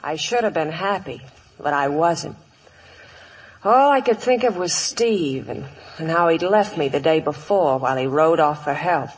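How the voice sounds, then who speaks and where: A troubled young frontier sweetheart in an old radio Western. Her voice is husky and plain-spoken, with spirit under the worry. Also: deep voice, expressive, unhurried, exaggerated voice, low-quality recording. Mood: wistful narration. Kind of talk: 1950s radio Western acting. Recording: old 1950s radio broadcast.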